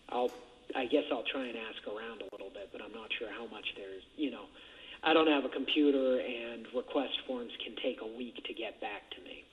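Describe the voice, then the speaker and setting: A man chatting casually in a hesitant, rambling way over a jail phone line. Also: medium pitch. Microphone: telephone handset. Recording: muffled, noisy jail phone call recording.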